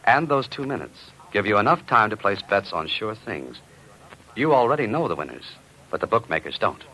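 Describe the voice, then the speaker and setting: A man's voice: on the low side, moderate pace. An actor playing a cool-headed, wry amateur sleuth who narrates his own adventure in a vintage radio drama. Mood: cool, shrewd. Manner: wry, deadpan, unruffled. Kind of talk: 1940s-50s radio detective acting and narration. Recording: noisy vintage radio recording.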